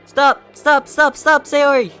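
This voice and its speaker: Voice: sits high, little pitch movement, slow, a bit bright, faint music behind. A gaming streamer reading visual novel text aloud during a playthrough, breaking into startled reactions. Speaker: video game streamer.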